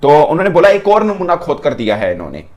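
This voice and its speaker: A man's voice: on the high side, huge pitch swings, fast talker, darkish tone. A man giving casual sports commentary, recounting what players have said.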